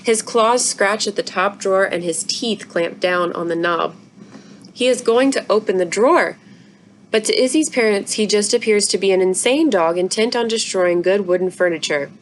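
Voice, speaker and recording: A woman's voice: mid-range voice, moderate pace, a little hiss or hum. A woman reading a children's book aloud expressively, as a story-time narrator. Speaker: library story-time reader.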